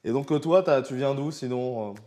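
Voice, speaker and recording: A man's voice: medium pitch, a little fast, warm tone. A French man hosting a casual, chatty interview, informal and prompting, often jumping in with half-finished sentences. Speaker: interviewer, host.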